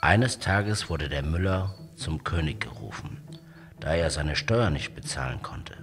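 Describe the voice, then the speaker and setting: A man narrating a scripted fairy tale for children as an expressive, dramatic storyteller. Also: a bass voice, unhurried, over music.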